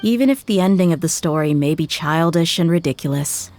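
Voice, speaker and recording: A woman's voice: deep voice, expressive, brisk, a little mellow. An actress playing a tough, blunt female mercenary in a game, dry and sardonic in how she talks. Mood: earnest. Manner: blunt and dry, sighs at things.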